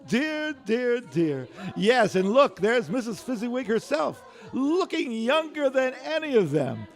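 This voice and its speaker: An actor playing an anguished, remorseful old miser in an exclamatory, drawn-out radio drama style. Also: male voice, extremely high pitch, sing-song, exaggerated voice. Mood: delighted nostalgia. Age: older. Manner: emotional, exclamatory, drawn-out delivery. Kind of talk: 1940s radio drama acting.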